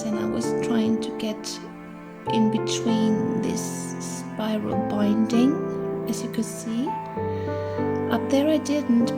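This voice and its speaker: A middle-aged woman, chatting gently through a crafting tutorial and thinking aloud as she works. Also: on the high side. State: hoarse. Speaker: crafting channel host. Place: indoors at a craft table.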